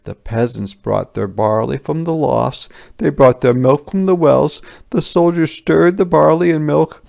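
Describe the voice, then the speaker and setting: A man's voice: on the high side, unhurried, gravelly, a little mellow. A man narrating a children's picture book as a scripted read-aloud. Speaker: storybook reader.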